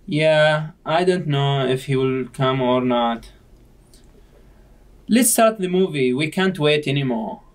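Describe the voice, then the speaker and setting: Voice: medium pitch, slow, natural voice. Sociable puppet host read by an amateur: mid-range voice, careful learner-like delivery of scripted chat. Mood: mildly reproachful. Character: sociable party host among puppet friends. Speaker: amateur puppet show performer.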